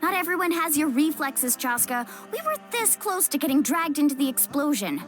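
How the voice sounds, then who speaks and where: An actress playing a spirited, chiding young female adventurer in a video game, expressive and teasing. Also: high-pitched, moderate pace, soft background music. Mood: chiding, alert.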